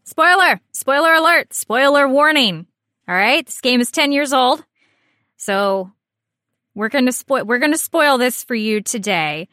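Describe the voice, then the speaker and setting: A woman's voice: sits high, expressive, a little slow, sharp tone, dry recording, clean studio sound. A woman co-hosting a podcast with casual, comedic banter in a playful, jokey manner. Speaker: podcast co-host.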